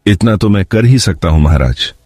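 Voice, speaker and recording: A man playing a scheming landlord in a cartoon moral story, speaking with measured, deferential persuasion. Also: lowish voice, expressive, warm tone, no room sound, studio quality, soft background music. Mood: sly, deferential. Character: scheming adult male landlord, deferential yet grasping.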